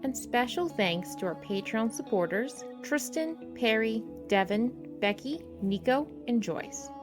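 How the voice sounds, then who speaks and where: Brief: a woman reading podcast credits in a steady voice over music. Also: a little high, measured pace. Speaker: credits reader.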